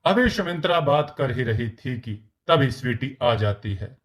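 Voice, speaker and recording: A man's voice: mid-range voice, lively intonation, unhurried. A man reading scripted story narration as the narrator.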